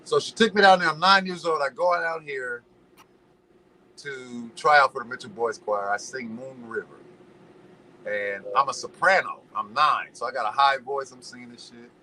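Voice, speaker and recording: Animated slangy man telling stories. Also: medium pitch, normal speed, a little hiss or hum, low-quality recording. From Los Angeles. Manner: animated storyteller, slangy, preachy musings. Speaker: podcast guest. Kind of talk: casual chat.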